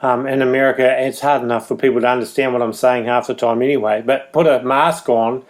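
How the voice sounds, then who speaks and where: A man's voice: mid-range voice, fairly even intonation, a little fast. A man with a New Zealand accent hosting a talk show, chatting in an easygoing, friendly way. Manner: easygoing, chatty, laughs along. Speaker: podcast host. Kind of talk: casual chat.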